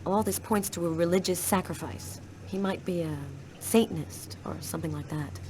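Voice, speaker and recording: A woman playing an analytical, measured police detective in a video game. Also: on the low side, a little mellow, slight background noise, natural voice. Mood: businesslike.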